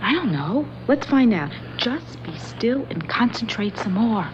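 An actress playing an earnest, spooked young woman in a 1970s radio drama, often speaking in a hushed whisper. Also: a little high, big pitch swings, measured pace. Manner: hushed, whispering over the board. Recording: muffled old radio broadcast, faint hiss.

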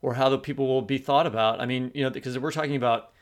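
A man's voice: medium pitch, fairly even intonation, fast. A man co-hosting an interview, posing a question in a casual, musing way. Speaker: podcast co-host interviewer.

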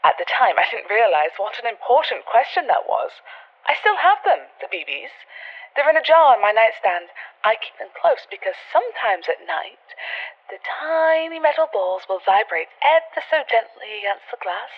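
A woman's voice: fairly high pitch, sing-song, normal speed, dull audio. A woman playing an eerie young caller telling a confessional story in an audio drama. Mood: eerie, fond. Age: young adult. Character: eerie young woman convinced of secret night visitors.

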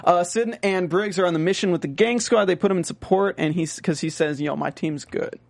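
A man's voice: high voice, fairly quick, gravelly, dry recording. A man from North Carolina co-hosting a casual TV recap, chatty and jokey, with frequent restarts. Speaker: podcast co-host.

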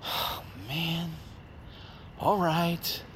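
A man's voice: a little high, level tone, extremely slow, fairly bright tone, a little hiss or hum. An actor playing a weary homeless man in an audio drama, grumbling and colloquial, a loyal buddy. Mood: weary, grudging. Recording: street ambience with passing vehicles.

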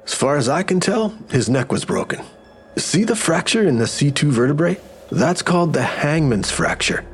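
An actor playing a wry, matter-of-fact medical examiner who explains with morbid humor in an audio drama. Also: male voice, on the high side, sing-song, moderate pace, husky, exaggerated voice.

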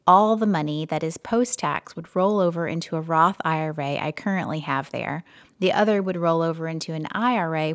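A woman co-hosting a personal finance podcast, reading a listener's letter aloud in a steady, measured way. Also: deep voice, no echo, clean studio sound. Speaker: podcast co-host.

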